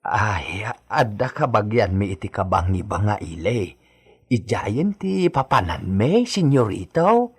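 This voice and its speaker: Very husky, slow old countryman. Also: lowish voice, big pitch swings, heavily acted. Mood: deferential. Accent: Filipino. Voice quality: husky, rough. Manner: slow, hesitant, submissive. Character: meek old countryman, deferential to his young master. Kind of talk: radio drama acting.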